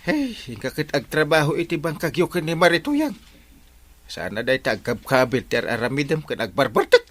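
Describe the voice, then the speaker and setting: Firm Ilocano village captain, animated. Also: male voice, fairly high pitch, normal speed, exaggerated voice. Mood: scolding. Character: village captain, firm, scolding man of authority. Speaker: actor in a radio comedy drama. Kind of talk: Ilocano radio comedy-drama acting.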